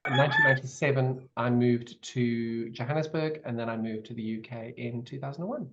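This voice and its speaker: A man's voice: mid-range voice, a little fast, fairly bright tone. Chatty host man on muffled audio. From Zimbabwe. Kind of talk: video call interview.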